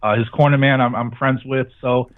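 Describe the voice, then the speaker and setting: A man's voice: medium pitch, little pitch movement, fairly quick. A man chatting casually as an interview guest, giving blunt, opinionated answers. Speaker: guest, sports radio show host. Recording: thin phone-like line.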